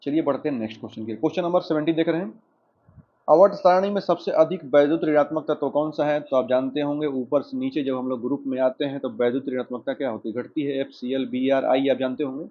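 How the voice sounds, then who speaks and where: A man's voice: mid-range voice, fast talker. A man with an Indian accent teaching an exam lesson, explaining questions and answers directly to students. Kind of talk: lesson, working through quiz questions and explanations. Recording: dull lo-fi microphone sound.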